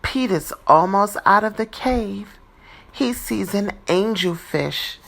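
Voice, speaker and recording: A woman reading a children's picture book aloud in a deliberate, expressive teacher's style. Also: medium pitch, creaky. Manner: deliberate, animated, dramatizing for young children.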